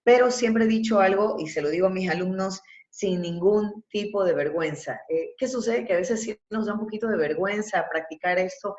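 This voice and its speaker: A woman's voice: mid-range voice, a little fast, hardly any pauses, darkish tone. A woman with an Ecuadorian accent coaching voice and breathing in an online webinar, explaining with emphasis. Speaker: university professor and voice coach. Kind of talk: teaching breathing and vocal exercises in a webinar. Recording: muffled, echoey webinar audio.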